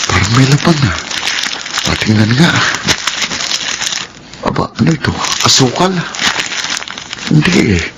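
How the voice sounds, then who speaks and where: Low-voiced, humble Filipino young husband. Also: male voice. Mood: puzzled, nervous. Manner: earnest, self-effacing. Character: humble, honest, timid poor young male scavenger. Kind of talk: radio drama acting. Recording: clear background noise and ambience.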